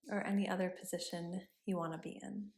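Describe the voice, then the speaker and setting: A woman yoga instructor leading a body scan meditation in a gentle, instructive manner with pauses between cues. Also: fairly low pitch, level tone, gravelly. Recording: roomy, echoing sound.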